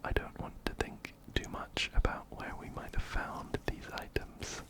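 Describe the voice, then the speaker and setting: A man whispering ASMR game commentary very close to a binaural mic, gently repeating his phrases. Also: measured pace. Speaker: ASMR host playing a video game. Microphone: close binaural microphone, ear to ear.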